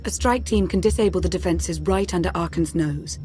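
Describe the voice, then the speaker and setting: A woman playing a composed, shrewd strategic advisor in a video game, measured and dry in delivery. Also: fairly low pitch, dark tone, natural voice. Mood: resolute.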